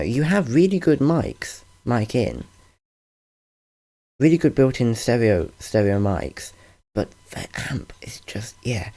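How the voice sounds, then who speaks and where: A man's voice: medium pitch, warm tone. A man giving a casual, rambling tutorial over a screen recording, often correcting himself with a wry tone, recorded on a studio condenser mic. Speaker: channel host explaining audio gear. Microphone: large-diaphragm studio condenser.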